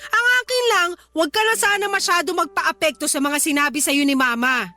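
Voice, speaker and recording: High, bright, exasperated young wife. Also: female voice, a little fast. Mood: placating. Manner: pleading, exasperated. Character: weary working mother defending her own mother to her partner. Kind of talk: Tagalog radio drama acting.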